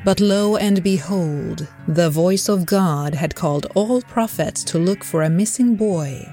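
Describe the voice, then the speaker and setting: A woman playing an unearthly, knowing storyteller in an audio drama, measured and dryly wry. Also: on the low side, dark tone, faint music behind. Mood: measured storytelling. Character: unearthly storyteller who speaks as a divine voice to prophets. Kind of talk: dramatic scripted narration.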